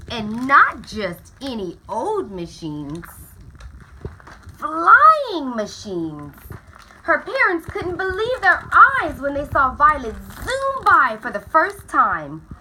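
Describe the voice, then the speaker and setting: Female storybook reader, high and very lively delivery, dramatic stretched words, some background noise. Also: low-quality recording. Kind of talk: expressive children's picture book read-aloud.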